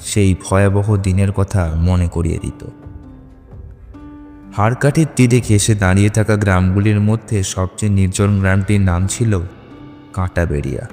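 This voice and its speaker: Low, mellow male storyteller in deep reverb. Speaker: narrator. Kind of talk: scripted horror story narration. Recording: long reverb with music underneath. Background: music.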